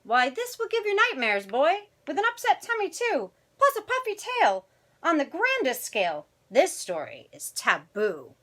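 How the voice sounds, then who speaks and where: Woman playing a sharp, scolding mama cat. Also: high voice, wildly swooping pitch, moderate pace, pitched above the performer's own voice, full character voice. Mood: dramatic warning. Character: scolding, fussy mother Siamese cat.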